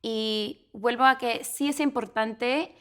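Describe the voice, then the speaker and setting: Young woman doctoral student, smooth clear voice. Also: fairly high pitch, steady tone, slow, fairly bright tone. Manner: earnest, fillers and self-corrections. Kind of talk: conversational academic discussion.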